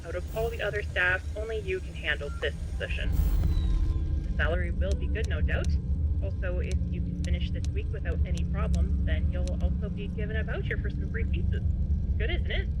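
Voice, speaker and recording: A woman's voice: lowish voice, natural voice. A woman playing a friendly, apologetic pizzeria employee explaining the job in a chatty recorded message for a horror game. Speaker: voice actor in a fan horror game. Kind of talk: scripted tutorial message. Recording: very noisy cassette-style recording.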